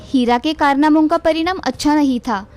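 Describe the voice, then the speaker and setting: A woman's voice: high-pitched, moderate pace, a little mellow, faint music behind. A woman narrating a scripted moral story for children.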